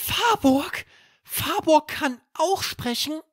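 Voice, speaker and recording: Young storybook boy, very high pitch, swooping intonation, puzzled and wide-eyed. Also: animated, takes it slowly, no echo, studio quality, heavily acted. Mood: astonished.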